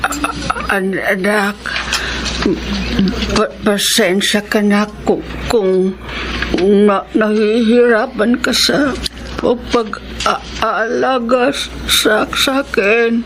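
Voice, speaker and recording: Ailing mother, extremely slow, halting, stammering, weak, radio drama, noisy recording. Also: medium pitch, fairly even intonation. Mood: weak, apologetic. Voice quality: halting, stammering, labored speech. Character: frail sick mother, speech impaired by a stroke. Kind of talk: Filipino radio drama acting.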